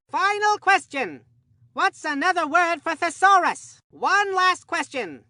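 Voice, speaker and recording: Chipper, goofy kid baseball announcer for a cartoon game; high voice, folksy mock-serious earnestness, close dry sound. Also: female voice, bright, forceful tone, no room sound, full character voice. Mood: curious, earnest. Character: excitable, naive boy baseball commentator, chipper and goofy. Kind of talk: cartoon sports game commentary.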